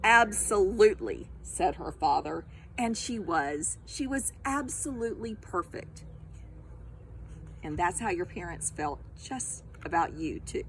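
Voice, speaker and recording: A woman reads a picture book to young children in an unhurried, deliberate way. Her pitch moves expressively as she speaks straight to her young listeners and invites them to join in. There is noticeable noise behind her voice. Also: animated, low-quality recording. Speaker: storytime reader, teacher of young children.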